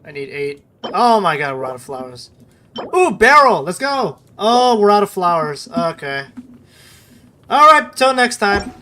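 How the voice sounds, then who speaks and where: A man's voice: sits high, big pitch swings, unhurried, sharp tone, a little hiss or hum. A man streaming a game, chatting casually and muttering to himself in short, fragmented remarks. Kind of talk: casual livestream gameplay chat. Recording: game music behind the voice. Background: game music.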